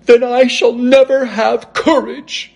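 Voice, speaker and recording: A man's voice: very high-pitched, level tone, slow, vocal fry, pitched above the performer's own voice, heavily acted. A man voicing a timid, cowardly lion in a children's storybook, with a nervous, pleading manner. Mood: nervous resolve.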